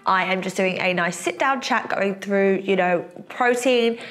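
A woman's voice: medium pitch, measured pace. Chatty young woman, slightly nasal from a cold. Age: young adult. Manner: chatty, many fillers, lively gestures. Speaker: fitness coach and vlogger. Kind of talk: casual sit-down chat to camera. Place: bright room indoors, seated.

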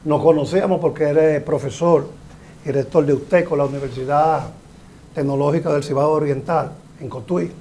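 A man's voice: on the high side, steady tone, normal speed, warm tone, slight background noise. A middle-aged man, a hydraulic engineer explaining a mining project as a guest in a TV studio interview, speaking emphatically with a lapel mic. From Dominican Republic. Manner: explanatory, emphatic, gestures with hands.

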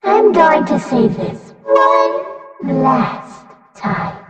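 A woman voicing an energetic little animatronic bunny girl, a cutesy, singsong cartoon daycare host with a menacing side. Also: extremely high pitch, drawn-out words, lo-fi sound, heavily acted. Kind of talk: character voice acting demo lines. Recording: echoey hall, distant from the mic.

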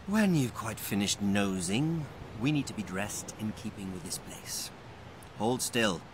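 Sardonic, theatrical young wizard, swooping delivery. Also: male voice, fairly low pitch, animated, unhurried, heavy background noise. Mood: impatient, commanding. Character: vain, exasperated, quick-witted young male wizard. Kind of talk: radio drama acting.

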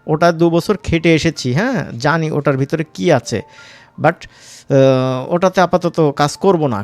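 A man with a Bangladeshi accent giving a casual, informal software tutorial as an instructor, mixing in English words. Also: fairly high pitch, no room sound.

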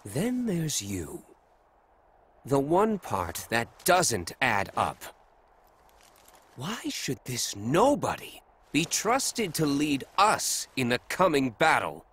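Haughty, swooping bird warrior. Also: male voice, medium pitch, huge pitch swings, slow, a bit bright, a little hiss or hum, heavily acted. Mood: scornful. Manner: pompous, sneering, theatrical drawl. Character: vain, haughty male bird warrior, young adult. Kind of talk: dramatic video game acting.

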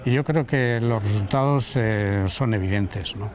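A man's voice: fairly low pitch, measured pace. A middle-aged man explaining his experience in a reflective, explanatory way during an interview, recorded on a town street with a lapel mic. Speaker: interviewee, language learner. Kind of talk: casual interview answers.

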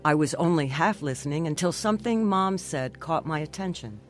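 A woman's voice: deep voice, lively intonation, normal speed. Determined lady narrating her life story for a radio drama, bit of music under it. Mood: reflective. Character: determined woman recounting her recovery from a stroke. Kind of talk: scripted first-person radio drama narration.

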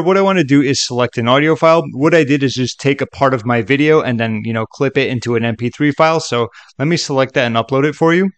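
A man narrating a software tutorial in a casual, conversational way with chatty asides. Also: medium pitch, no echo.

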